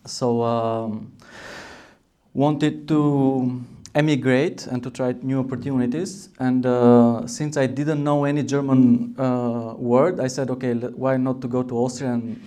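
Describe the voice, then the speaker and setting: A man from Albania speaking on a conference panel, hesitant and full of pauses and fillers. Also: mid-range voice, steady tone, mellow. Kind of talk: panel discussion.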